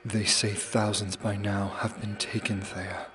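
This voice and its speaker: Man playing a low, hushed sci-fi rebel. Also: flat delivery, measured pace, natural voice. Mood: urgent, questioning. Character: tense young man, human rebel guiding fugitive androids. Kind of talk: sci-fi audio drama acting.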